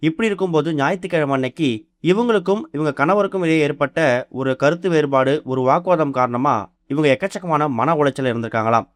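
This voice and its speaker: Fast-talking man reading entertainment news. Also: a little high, no echo, studio quality. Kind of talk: fast scripted news narration.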